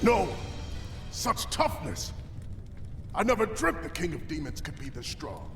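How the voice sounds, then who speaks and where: A man's voice: on the high side, wildly swooping pitch, unhurried, fairly bright tone, heavily acted. An actor playing an earnest young male fighter in a video game cutscene, dramatic and urgent in manner. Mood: stunned. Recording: echoing reverb, music behind.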